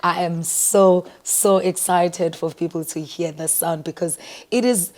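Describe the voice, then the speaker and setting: A South African woman with a South African accent, a singer answering interview questions in an animated way and often repeating words as she thinks. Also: fairly low pitch, a little slow. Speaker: guest singer and entrepreneur.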